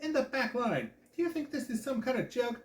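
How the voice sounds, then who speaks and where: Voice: medium pitch, lively intonation, a little slow, darkish tone, voice pushed up, natural voice. A man voicing a stern, gushy female dance instructor with a French accent in a storybook. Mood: stern, barking. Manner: effusive when praising, barking when displeased. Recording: echoey room.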